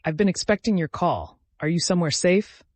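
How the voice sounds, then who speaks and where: A man's voice: high voice, fairly quick, darkish tone, no room sound, voice pushed up. A man voicing a professional, direct woman prosecutor with controlled tension in a dramatic audio story. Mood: brisk, businesslike.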